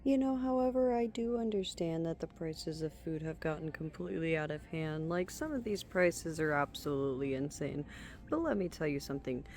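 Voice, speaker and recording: A woman's voice: deep voice, moderate pace, darkish tone, faint music behind. A woman ranting incredulously to camera about prices. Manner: incredulous, exclamatory.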